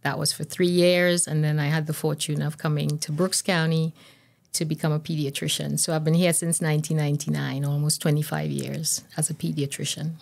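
An older woman speaks in a notably low voice. She explains things in a measured, conversational way, as a medical guest answering interview questions. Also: level tone, creaky, a little mellow. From Trinidad and Tobago. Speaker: guest pediatrician. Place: small indoor podcast studio. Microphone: boom-arm podcast microphone.

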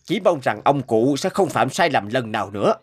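An actor playing a polite restaurant manager in an animated story, formal and firm. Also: male voice, medium pitch, normal speed, breathy edge. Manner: courteous, firm. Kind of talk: animated moral story dubbing.

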